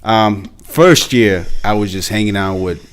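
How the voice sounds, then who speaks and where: A man's voice: lowish voice, unhurried. A man from the former Yugoslavia who grew up mostly in Serbia, talking informally about himself in a casual group discussion. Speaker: podcast cast member.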